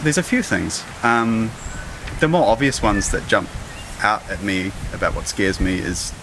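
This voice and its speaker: A man's voice: on the low side, a little slow, background noise. A man, chatting in a casual outdoor interview with many fillers and frequent laughs. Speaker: interviewee, audio engineer. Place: outdoor deck.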